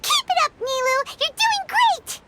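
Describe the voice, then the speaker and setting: A tiny, excitable fairy companion cheers from the sidelines in a game. Her voice is very high and squeaky, leaping wildly in pitch as she drags out her words. A clear hiss runs under the recording. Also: measured pace, exaggerated voice. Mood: cheering.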